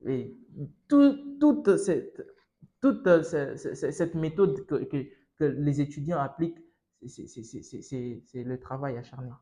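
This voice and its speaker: A young student guest speaks with a Congolese French accent in a fairly high, mellow male voice. He talks casually but emphatically, often stalling and repeating words as he makes his point. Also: animated. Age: young adult. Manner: halting, repetitive, emphatic. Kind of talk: casual discussion.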